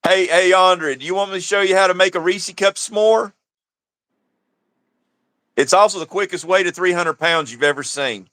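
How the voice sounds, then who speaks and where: A man's voice: high voice, moderate pace, pauses often, fairly bright tone, no echo. A man co-hosting a livestream, chatting bluntly with crude jokes and frequent laughter. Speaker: livestream co-host. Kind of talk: casual joking commentary.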